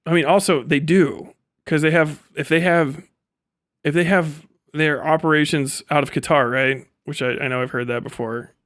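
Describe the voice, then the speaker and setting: A man's voice: on the high side, steady tone, normal speed, fairly bright tone, no echo, studio quality. A man doing casual livestream commentary, talking in an emphatic, profane, ranting way. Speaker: livestream host.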